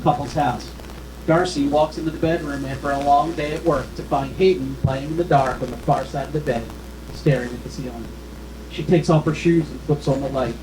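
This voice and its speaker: Guy reading screenplay directions, kinda high voice, roomy echo. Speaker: stage directions reader. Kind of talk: scripted narration at a screenplay table read. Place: live film festival table read. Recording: echoey room, faint noise.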